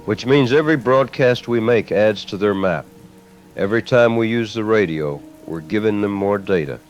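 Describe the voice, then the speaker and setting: Low-voiced, weary resistance commander played by a man. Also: moderate pace, soft background music. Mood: grim, frustrated. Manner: grim, grave, burdened. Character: weary male resistance base commander after machine apocalypse. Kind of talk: post-apocalyptic audio drama acting.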